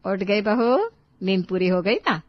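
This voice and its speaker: A woman's voice: mid-range voice, very animated, a little slow, no room sound, muffled recording. A woman voicing an affectionate, bossy mother-in-law in a family storybook tale, teasing and fondly scolding.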